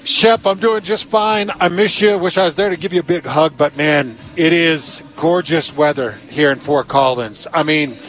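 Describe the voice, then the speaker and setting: High-voiced man chatting on sports radio. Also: moderate pace, hardly any pauses, a bit bright. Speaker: sports radio co-host, former player. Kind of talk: casual sports commentary chat. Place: stadium press booth.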